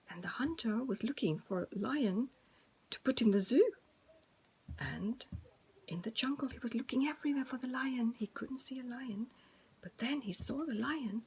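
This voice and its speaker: A woman's voice: a little high, a little hiss or hum. A woman telling a story to preschool children in an informal way, with simple, repeated phrases addressed to young listeners.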